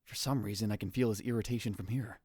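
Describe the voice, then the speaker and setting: A man's voice: lowish voice, steady tone, fast, darkish tone, breathy edge, no room sound, clean studio sound. A man playing a theatrical, hot-headed young self-styled mad scientist in an anime visual novel dub, with grandiose delivery. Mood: brooding. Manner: dramatic, grandiose outbursts. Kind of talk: anime visual novel fan dub, first-person narration.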